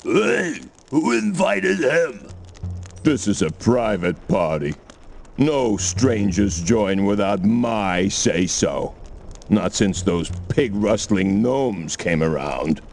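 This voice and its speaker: A man's voice: on the low side, animated, slow, darkish tone, soft background music, full character voice. A man voicing a surly, boastful orc leader in a cartoon fantasy game, with a blustering, declamatory delivery. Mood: hostile.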